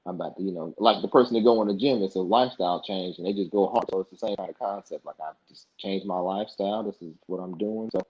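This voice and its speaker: Husky low-voiced young man telling stories. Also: quick pace, few pauses. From United States. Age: young adult. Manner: animated, acts out past dialogue in colloquial speech. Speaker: podcast guest. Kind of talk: casual interview storytelling. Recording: dull lo-fi podcast audio.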